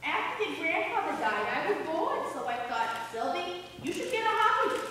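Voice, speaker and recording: A sprightly grandmother with a secret sideline, played by a woman on a comedy stage. Her voice is very high and swings about as she projects to the house. It reaches us from far off in a reverberant hall. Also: big pitch swings, moderate pace, pushed and bright, exaggerated voice. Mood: cheerfully confiding. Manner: projected, theatrical delivery. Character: sprightly grandmother secretly running a lingerie business. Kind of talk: amateur stage comedy acting. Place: theater stage, recorded from the audience. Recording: distant, echoey hall recording with faint noise.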